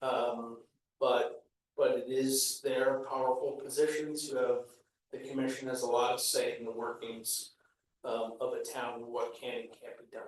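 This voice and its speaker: Man, commission member, measured explanations, lots of ums, far from the mic, very echoey meeting room. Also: medium pitch, level tone. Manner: measured, explanatory, many fillers. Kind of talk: explaining procedure at a town meeting.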